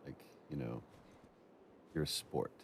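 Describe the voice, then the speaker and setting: A man's voice: rumbling low, takes it slowly, gravelly, noisy background. A man chatting casually in a commentary as one of the game's makers. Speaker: commentator who worked on the game.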